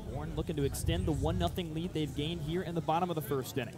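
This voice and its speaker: Guy doing baseball play by play on radio, highish voice, short clipped descriptive calls. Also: steady tone, quick pace, a crowd in the background. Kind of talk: live baseball play-by-play commentary. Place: outdoor ballpark broadcast position.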